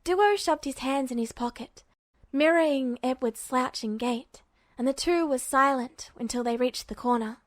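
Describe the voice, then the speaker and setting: A woman's voice: high-pitched, normal speed, no room sound. A woman narrating a scripted piece of fiction as an audiobook-style reader. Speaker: podfic narrator.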